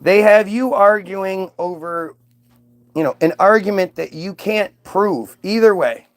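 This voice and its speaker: A man's voice: high voice, unhurried, no echo. A man hosting a livestream, arguing heatedly with viewers in a mocking, combative way, swearing and restarting his sentences.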